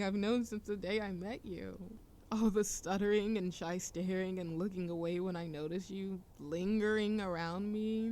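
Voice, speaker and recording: A woman's voice: medium pitch, warm tone, natural voice. A woman playing a playful young witch smitten with a childhood friend, teasing and affectionate in an intimate romantic audio roleplay. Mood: fond, teasing. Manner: teasing, affectionate, breathy laughs and whispers.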